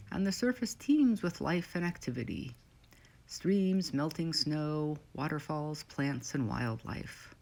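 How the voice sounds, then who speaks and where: A woman leading a scripted guided meditation in short, measured, soothing phrases with pauses between them. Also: sits low, gravelly, a little mellow.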